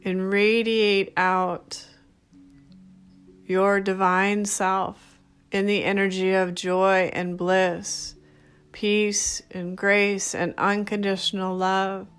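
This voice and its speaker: Woman doing guided meditation, really slow n gentle, music playing behind her. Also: mid-range voice, flat delivery, clean tone. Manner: gentle, soothing, unhurried with short phrases. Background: background music.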